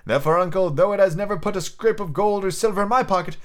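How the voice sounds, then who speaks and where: A man's voice: high voice, quick pace, voice pushed up, lightly acted. A man voicing a cheerful, earnest young nephew from a storybook, eager and pleading in manner. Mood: earnest, heartfelt. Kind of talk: storybook character reading.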